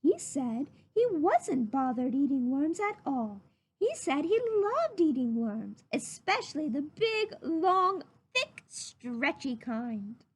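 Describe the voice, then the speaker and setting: Storybook mother raccoon played by a woman: soothing, patient, high and slow, melodic swings. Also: big pitch swings, full character voice. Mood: patient, explaining. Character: gentle, patient mother raccoon telling a tale. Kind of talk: storybook character voice.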